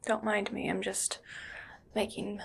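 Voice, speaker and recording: A woman playing an eerily calm, gleefully morbid mad scientist in an ASMR roleplay, speaking in a hushed, breathy, musing whisper. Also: medium pitch, heavily acted. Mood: softly menacing. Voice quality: breathy whisper with soft creaky voicing. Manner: slow, hushed, musing to herself.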